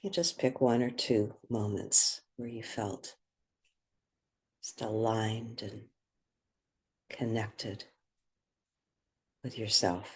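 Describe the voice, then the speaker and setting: A person guiding a meditation in a soft, breathy voice, speaking gently and soothingly with unhurried pauses. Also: medium pitch, flat delivery. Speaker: meditation guide. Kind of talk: guided meditation.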